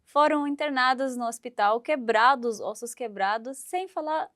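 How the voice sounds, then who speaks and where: A woman in her thirties from Syria, speaking in a fairly high voice. She tells her story with animation, acting out the voices of the people in it. The sound is dry and close. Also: normal speed, no echo. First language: Arabic. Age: 30s. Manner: animated, acts out quoted voices, laughs while telling. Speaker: guest. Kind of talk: storytelling interview.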